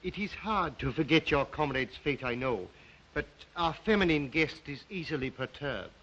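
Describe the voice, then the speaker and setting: A suave Cossack count in a vintage drama. His Russian-accented voice is highish and bright, swooping theatrically through courtly, ingratiating phrases with a sinister polish. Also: lively intonation, moderate pace, highs cut off. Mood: smooth, ingratiating.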